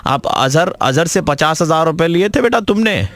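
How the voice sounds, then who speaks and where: A man playing a preachy older uncle who scolds a younger man in a condescending, calmly provoking way. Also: fairly high pitch, normal speed. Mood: chiding.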